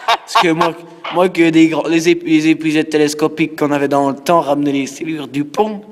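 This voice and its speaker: A man voicing a stubborn seventy-year-old country angler on a prank call, halting, repeating himself and rambling insistently. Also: on the high side, fairly even intonation, close to an everyday voice. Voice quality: halting, repetitive speech. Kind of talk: prank phone call in character. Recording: phone prank with long reverb.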